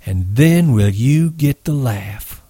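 A man voicing a steady, respected ranch boss who talks in a measured, authoritative way. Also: mid-range voice, husky, no room sound, clean studio sound.

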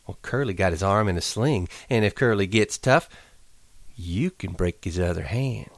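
A man voicing a lonely, restless young woman on a ranch, talking in a quick, coaxing, pleading way. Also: low-pitched, vocal fry, no room sound, studio quality. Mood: teasing. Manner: pleading, fast-tumbling, coaxing.

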